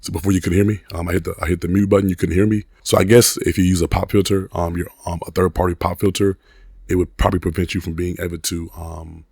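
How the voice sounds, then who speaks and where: A man in his thirties, hoarse from a cold, casually reviewing a microphone in a rambling way, with many restarts and fillers, recorded on a desk USB mic in a small room at home. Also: sits low, a little mellow, dry recording, clean studio sound. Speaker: product reviewer. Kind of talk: casual product review vlog. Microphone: desk condenser USB mic.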